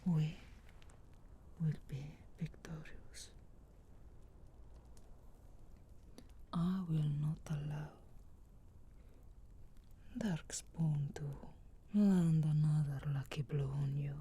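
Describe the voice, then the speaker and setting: A woman performs a teasing, sharp-tongued young witch in a fantasy ASMR roleplay. She whispers low and mellow, close to the microphone, drawing each phrase out very slowly with an intimate, measured delivery. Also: steady tone, slight background noise. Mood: tender, protective. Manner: slow, intimate, measured delivery with long pauses.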